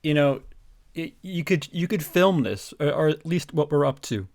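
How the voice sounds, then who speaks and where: Gravelly, higher-pitched man riffing casually. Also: animated, a little mellow, dry recording, clean studio sound. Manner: halting, wry riffing. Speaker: podcast co-host. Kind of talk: casual comedic chat.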